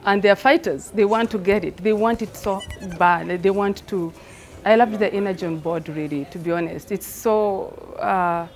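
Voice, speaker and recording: A woman's voice: medium pitch, moderate pace. Woman, emphatic interview talk. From Rwanda. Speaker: theatre artistic director. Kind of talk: interview, reflective explanation.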